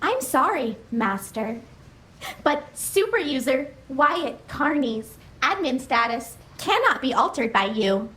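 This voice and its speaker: A high, bright, sing-song computer assistant played by a woman, slow and deliberate, gliding up and down as it politely serves its users. Soft music runs underneath. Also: big pitch swings, heavily acted, low-quality recording. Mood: apologetic. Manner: chirpy, formal and deferential. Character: eager computer system, servile female AI.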